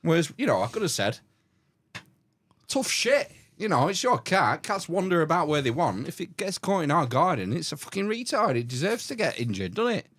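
A man's voice: fairly high pitch, very animated, moderate pace, fairly bright tone, husky, no echo. A man telling a story in casual podcast banter, swearing freely. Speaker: podcast co-host.

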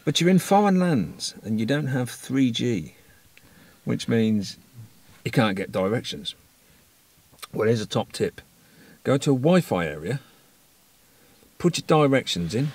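A man's voice: on the low side, a little mellow, husky. A British man in his forties, a vlogger talking casually to camera in an emphatic, wry, explanatory way. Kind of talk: casual vlog chat.

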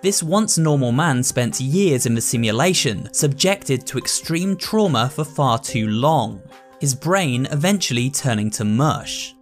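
A man giving a steady, scripted narration explaining a game's story. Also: medium pitch, a little slow, faint music behind.

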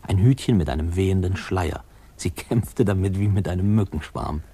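A man playing a reflective narrator who wryly recalls his boyhood in a 1950s radio play, with musing pauses. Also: deep voice, dark tone. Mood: wry, reminiscing. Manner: wry, musing, pausing.

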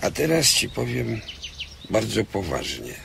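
Grave male adviser, low, very gravelly, measured, birdsong and noise behind, audio drama. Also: wildly swooping pitch, fairly bright tone, heavily acted. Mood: grave, confiding. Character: grave, deliberate man giving confidential advice. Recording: clear background noise with birdsong. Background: birdsong ambience.

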